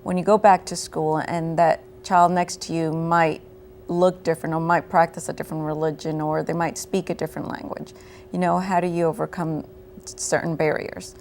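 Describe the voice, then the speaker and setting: A woman's voice: sits low, flat delivery, moderate pace, darkish tone, slight background noise. A woman, a program coordinator, explaining her work earnestly as a guest on a TV interview. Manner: earnest, explanatory, uses "you know" often.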